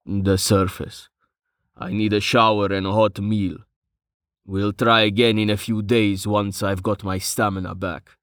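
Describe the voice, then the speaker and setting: A man voicing a gruff, short-tempered male leader of a fantasy adventuring band in an audiobook. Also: lowish voice, little pitch movement, takes it slowly, hardly any pauses, a little mellow, no echo, studio quality, heavily acted. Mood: weary. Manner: gruff, snapping.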